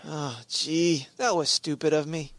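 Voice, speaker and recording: An actor playing a befuddled, mild-mannered husband in an old-time radio comedy, often hesitant and flustered. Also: male voice, fairly high pitch, fairly even intonation, a little slow, heavy background noise. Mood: flustered, sheepish.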